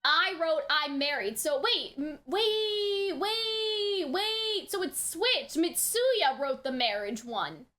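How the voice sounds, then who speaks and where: A woman's voice: very high-pitched, animated, slow, hardly any pauses, sharp tone. A woman in her early twenties giving casual, chatty, emphatic commentary to viewers as a review host, often checking in with the listener. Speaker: anime reaction and review host.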